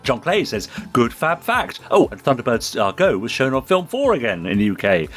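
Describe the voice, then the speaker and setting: A man hosts a podcast, moving between reading aloud and jovial chat. His mid-pitched voice swoops widely, over faint music. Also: wildly swooping pitch, normal speed. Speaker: podcast host. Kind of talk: reading out and chatting.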